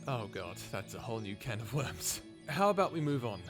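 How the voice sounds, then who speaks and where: A man's voice: medium pitch, very animated, normal speed, music in the background, lightly acted. A man playing a sarcastic, easily exasperated human scientist in an informal, conversational audio roleplay drama. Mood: casual, curious. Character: wry, grumbling human scientist, reluctant companion of a robot.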